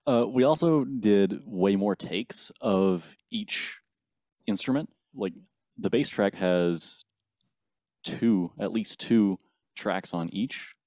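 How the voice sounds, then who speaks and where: A man in his twenties, a band's bassist, explaining the recording process methodically in a casual interview. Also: slow, a little mellow, no echo, studio quality. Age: 20s. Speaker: band bassist.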